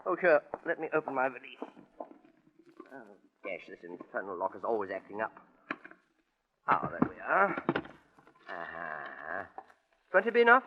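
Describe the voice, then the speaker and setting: A man playing a fussy, genial English gentleman with a hesitant, polite manner in a 1940s radio drama. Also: on the low side, huge pitch swings, normal speed, pauses often. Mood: fussy, obliging. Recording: muffled, noisy old radio recording.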